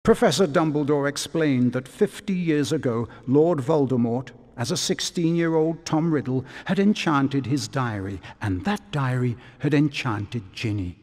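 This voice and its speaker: A man narrating a video game story from a script in a measured, dramatic storytelling manner. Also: medium pitch, darkish tone. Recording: long reverb.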